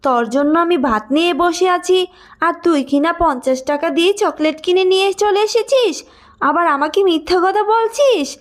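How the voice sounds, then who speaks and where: A woman voicing an emphatic, fussing village mother, loving but quick to scold, in a cartoon. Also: extremely high pitch. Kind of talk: cartoon voice acting.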